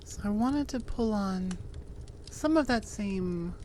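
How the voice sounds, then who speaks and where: A woman's voice: medium pitch, lively intonation, slow pace, darkish tone, slight background noise. A woman hosting her audio channel, chatting to listeners in an informal, rambling, self-correcting way. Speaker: audio roleplay creator, channel host. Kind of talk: casual, unscripted chat to listeners.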